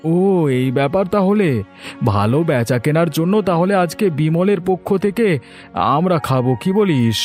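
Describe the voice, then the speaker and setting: A man's voice: on the high side, animated, moderate pace, a little mellow, soft background music, close to an everyday voice. A man voicing a chatty, status-conscious village trader in a cartoon folk tale, with theatrical delivery.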